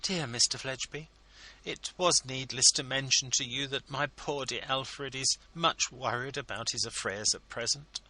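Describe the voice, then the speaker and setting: A man voicing a gushing, flattering scheming wife who pleads sweetly in a storybook reading. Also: mid-range voice, voice pushed up, low-quality recording.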